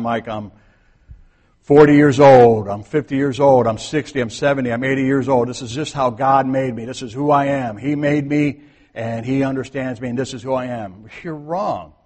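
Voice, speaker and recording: A man preaching a sermon to his congregation, talking casually, then soft, then emphatic. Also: mid-range voice, husky. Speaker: pastor. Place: church sanctuary. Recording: long hall reverb.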